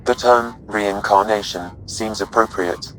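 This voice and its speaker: Low-voiced, formal ship's artificial intelligence. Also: male voice, steady tone, fairly bright tone, faint music behind, rough recording. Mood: calm, reflective. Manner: measured, formal, precise phrasing. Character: calm, courteous male artificial intelligence aboard a spaceship. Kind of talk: video game voice acting.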